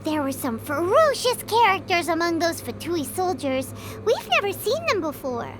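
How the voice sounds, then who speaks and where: A woman voicing a tiny, excitable, cheeky childlike fairy girl in an anime game dub. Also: extremely high pitch, moderate pace, slight background noise. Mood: curious. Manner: refers to self by name, exclaiming.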